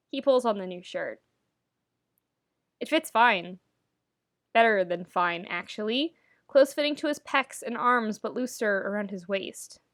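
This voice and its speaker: A woman narrates fiction in an expressive storytelling style. She leans on phrases with wry, theatrical emphasis. The sound is dry, with very little room in it. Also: medium pitch, no room sound. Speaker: podfic narrator reading fan fiction.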